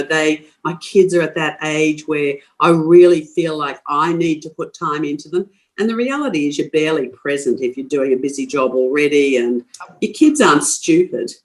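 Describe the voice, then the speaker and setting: An older woman with an Australian accent from Queensland, a guest in a podcast interview, talking frankly and forthrightly with frequent restarts. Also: low-pitched. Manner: frank, forthright, laughs easily, many restarts.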